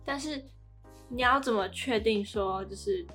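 A woman's voice: a little high, unhurried, sharp tone, faint music behind. A young woman with a Taiwanese Mandarin accent co-hosting a podcast, giving her opinions in a conversational way. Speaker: podcast co-host. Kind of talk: casual podcast chat.

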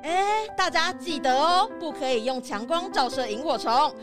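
An eager chameleon tour leader, voiced by a woman, high-pitched and earnest like a keen nature guide. The children's radio-play delivery sits over clear background music. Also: lively intonation, moderate pace. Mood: earnest, enthusiastic. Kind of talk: children's audio drama. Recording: music behind the voice.

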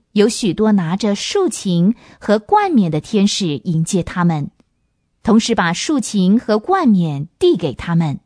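A woman's voice: mid-range voice, dark tone, breathy edge, no room sound, clean studio sound. A woman narrating a radio drama as a storyteller, reading from a script.

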